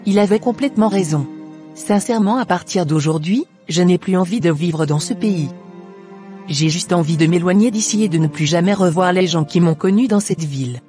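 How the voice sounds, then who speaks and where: Man as high-voiced troubled cartoon daughter. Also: moderate pace, dark tone, soft background music, close to an everyday voice. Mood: disappointed. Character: troubled, disappointed young adult daughter. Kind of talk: scripted cartoon dialogue.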